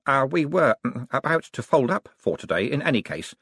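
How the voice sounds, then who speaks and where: A man's voice: medium pitch, sing-song, normal speed, a bit bright, breathy edge, no echo, studio quality, voice pushed up, heavily acted. A man voicing a friendly, flustered young wizard scholar in an audiobook, modest but bookish, hesitating and stammering with many ums. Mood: hesitant.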